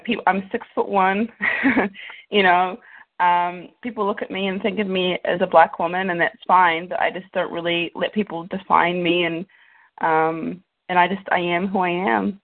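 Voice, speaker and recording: A woman from New Zealand with a somewhat low voice talks reflectively about herself, pausing on frequent ums. The sound is narrow and phone-like. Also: flat delivery. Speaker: guest, winery co-founder. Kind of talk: casual interview conversation. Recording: tinny phone line.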